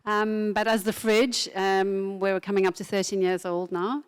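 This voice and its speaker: Woman, harpist and founder, slow measured speech, smooth voice. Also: medium pitch, little pitch movement. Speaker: venue founder and harpist, panelist. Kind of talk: panel self-introduction.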